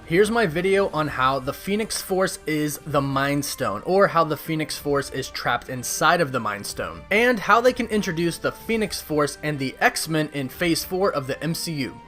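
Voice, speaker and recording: Higher-voiced man explaining a fan theory. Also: sharp tone. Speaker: video host presenting a fan theory. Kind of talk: scripted explainer narration. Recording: music behind the voice. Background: background music.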